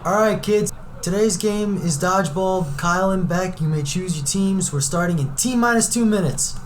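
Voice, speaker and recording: A woman voicing a brisk, commanding male gym teacher giving orders in a radio drama, with noticeable background noise. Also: lowish voice, voice pushed down, close to an everyday voice. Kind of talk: amateur radio drama acting. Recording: amateur recording with clear background noise.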